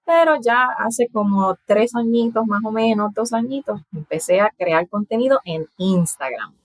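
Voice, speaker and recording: A woman in her thirties from Puerto Rico with a Puerto Rican accent and soft aspirated s sounds, explaining in a casual interview and laughing often. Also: medium pitch, lively intonation, normal speed, no room sound. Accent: Puerto Rican Spanish, aspirated s. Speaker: guest, language content creator.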